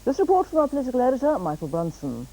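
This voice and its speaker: British woman newsreader, lively intonation, mellow tone. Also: high-pitched, very fast, rough recording. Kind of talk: scripted news reading.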